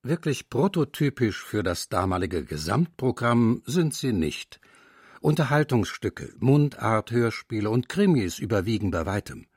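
A man narrating a scripted radio feature with a lively, slightly wry delivery. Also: medium pitch, measured pace, breathy edge, no room sound, clean studio sound. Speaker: documentary narrator.

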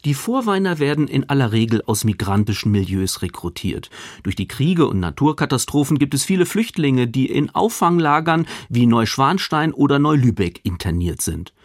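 A man's voice: mid-range voice, very animated, normal speed, hardly any pauses, dry recording, clean studio sound. A man reading a scripted radio book review as a literary critic, articulate and wry.

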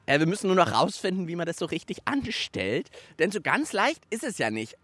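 A man's voice: high-pitched, very animated, brisk, a bit bright. A man hosting a children's radio show outdoors among autumn leaves, chatting playfully and explaining what he is doing. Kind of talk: lively radio chat while building.

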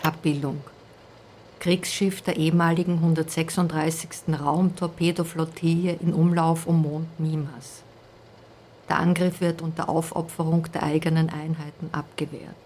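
A woman's voice: deep voice, little pitch movement, moderate pace, darkish tone, slight background noise. A woman reading factual captions as scripted narration.

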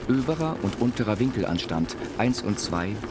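A man's voice: on the low side, big pitch swings, normal speed, heavy background noise. A man giving a literary reading of written texts for a radio feature. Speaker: reader of an inventor's writings. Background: music.

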